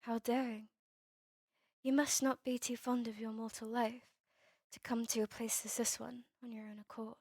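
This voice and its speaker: Woman doing a gloomy polite old-world vampire lady, asmr drama, higher voice, barely moves in pitch, super dry sound. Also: level tone, darkish tone, no echo. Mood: wry, grave. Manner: formal, old-fashioned, measured phrasing. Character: melancholy, courteous female vampire, turned young over a century ago. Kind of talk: ASMR roleplay audio drama.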